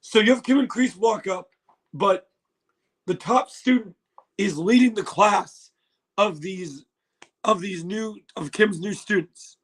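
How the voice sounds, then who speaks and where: Hoarse, excitable man on a phone stream. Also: high-pitched, slow, long pauses, rough recording. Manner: excitable, laughs often, swears. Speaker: livestream host. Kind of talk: casual livestream trailer reaction.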